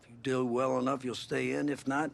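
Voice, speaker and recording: A man's voice: medium pitch, little pitch movement, a little fast, vocal fry, a little hiss or hum, close to an everyday voice. A man playing a stern, blunt college football head coach in a video game cutscene. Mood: stern, firm. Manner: firm, blunt.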